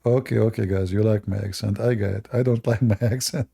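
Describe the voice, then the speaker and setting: Gravelly, sarcastic man hosting a livestream. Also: lowish voice, steady tone, brisk, dark tone. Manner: sarcastic, mocking. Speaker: livestream debate host. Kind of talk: live-stream debate commentary.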